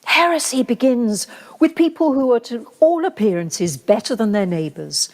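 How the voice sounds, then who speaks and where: A woman playing a grave, gently zealous medieval Inquisitor in a radio play, speaking in measured, solemn rhetoric. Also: a little high, expressive. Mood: solemn warning. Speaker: actor. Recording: reverberant hall-like live recording from home.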